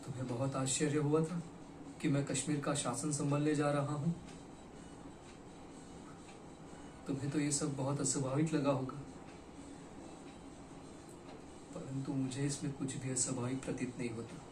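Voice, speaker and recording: A man performing a theatrical monologue as a broken, regretful poet, speaking dramatically and deliberately with weighted pauses. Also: medium pitch, little pitch movement, natural voice. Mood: pensive, regretful. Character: broken, regretful poet confessing to his lost beloved. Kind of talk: theatrical Hindi stage monologue. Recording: echoey room, distant mic, faint noise.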